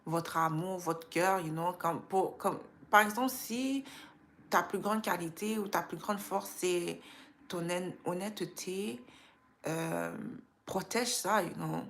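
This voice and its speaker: A woman with a Quebec French accent touched by Creole and English, chatting informally and motivationally as a live stream host, dropping in English slang. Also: lowish voice. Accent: Quebec French with some Creole and English influence. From Quebec, Canada. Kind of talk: casual motivational live chat.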